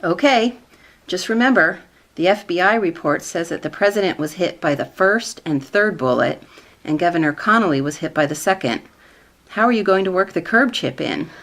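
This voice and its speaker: A woman's voice: on the low side, animated, moderate pace, fairly bright tone. A woman playing a knowing, skeptical government colleague in a sitcom radio play, dry and insistent.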